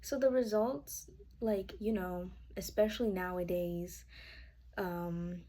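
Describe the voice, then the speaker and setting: A woman's voice: fairly low pitch, steady tone, slow pace, dark tone. Woman presenting casually from notes. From United States. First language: Spanish. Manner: casual, with fillers and self-corrections, checking notes. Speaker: student. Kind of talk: informal class presentation.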